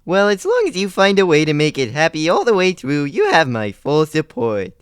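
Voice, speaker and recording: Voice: mid-range voice, wildly swooping pitch, normal speed, smooth, dry recording, clean studio sound. A performer voicing a prim, fussy, pompous little creature in a comic podcast, easily scandalized.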